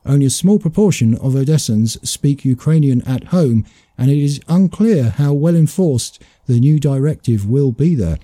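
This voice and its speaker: A man hosting a talk show, reading a news report aloud in a measured way before commenting. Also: mid-range voice, lively intonation, warm tone, dry recording. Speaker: host.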